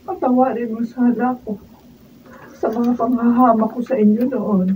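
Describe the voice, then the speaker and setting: Woman acting a high-voiced, melodramatic, remorseful wife. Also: fairly even intonation, normal speed, mellow, far from the mic, a little hiss or hum, lightly acted, poor audio. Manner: emotional, melodramatic delivery. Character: devastated, remorseful wife and mother who lost everything. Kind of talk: Filipino radio drama acting. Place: radio drama recording session.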